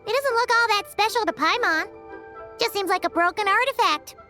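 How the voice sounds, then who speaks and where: A woman voicing a tiny, blunt, childlike fairy companion in a video game, with a squeaky voice. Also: fairly quick, pushed and bright, faint music behind. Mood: unimpressed. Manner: blunt, speaks of herself in third person. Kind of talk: animated game character dialogue.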